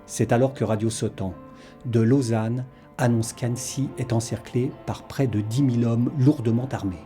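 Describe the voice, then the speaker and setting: A man's voice: medium pitch, fairly even intonation, moderate pace, warm tone, soft background music. A man reading a scripted historical documentary narration as its narrator.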